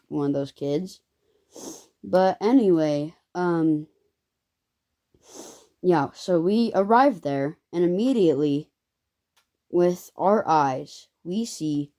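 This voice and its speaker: An eleven-year-old girl telling a casual story to viewers in a halting, rambling way, her voice occasionally squeaky. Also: warm tone. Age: child, eleven. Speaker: first-time video creator.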